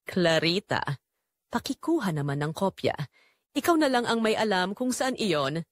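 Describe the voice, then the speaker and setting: A woman's voice: mid-range voice, sing-song, moderate pace, no room sound, natural voice. Married male boss read by a woman, tender tempting suitor, persuasive, romantic audio drama, dry close recording. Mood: polite, direct.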